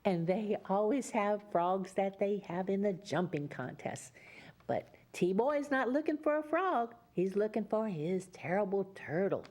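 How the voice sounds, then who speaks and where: A woman reading a picture book aloud as a Mother Goose storyteller, pausing for chatty explanations to young listeners. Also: medium pitch, expressive, darkish tone. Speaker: children's storyteller and host.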